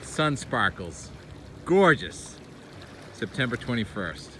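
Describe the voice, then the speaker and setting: A man's voice: mid-range voice, very animated, slow pace. A man vlogging casually outdoors on a phone, musing aloud about the sky and weather in short, enthusiastic remarks. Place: outdoors, beach and waterside. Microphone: handheld phone. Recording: clear outdoor ambient and vehicle noise.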